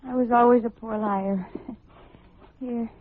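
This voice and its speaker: An actress playing an anxious, loyal young sweetheart in an old radio drama, speaking in an earnest, hesitant way. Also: female voice, fairly high pitch, steady tone, slow pace, no echo. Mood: sheepish. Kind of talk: 1950s radio drama acting. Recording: muffled phone-like vintage radio recording.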